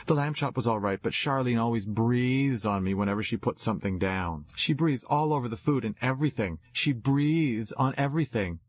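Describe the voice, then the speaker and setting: A man's voice: mid-range voice, lively intonation, moderate pace, hardly any pauses, breathy edge, voice pushed up. A man voicing a stubborn, eager young girl in an audiobook, with plain, childlike casual phrasing. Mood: defensive, innocent. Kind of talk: audiobook character dialogue. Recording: dull, roomy, echoey recording.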